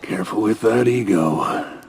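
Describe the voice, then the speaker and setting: A man's voice: lowish voice, unhurried, slight background noise, lightly acted. A man playing a cocky, battle-hardened soldier in a game cutscene, with a wry, blunt manner. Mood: dry, businesslike. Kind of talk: dramatic game cutscene acting. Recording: loud music behind.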